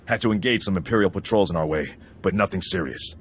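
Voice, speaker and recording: A gruff, no-nonsense sci-fi sergeant acted by a man with an extremely deep voice. His delivery is clipped and commanding, in video game style, with faint music behind. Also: quick pace, close to an everyday voice. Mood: brisk, matter-of-fact. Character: stern, gruff, no-nonsense male army sergeant. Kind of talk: dramatic video game acting.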